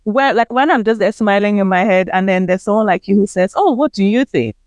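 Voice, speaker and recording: Young Nigerian-accented woman, podcast host, earnest and animated, smooth tone, dry close sound. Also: mid-range voice, fairly quick, dry recording. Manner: earnest, animated, acts out her own thoughts. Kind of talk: casual chat.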